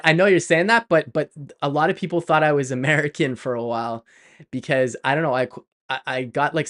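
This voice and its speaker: A man's voice: mid-range voice, fairly bright tone, clean tone. A middle-aged man with a Canadian accent, chatting casually on a live stream, laughing often and full of fillers and restarts. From Canada (Montreal).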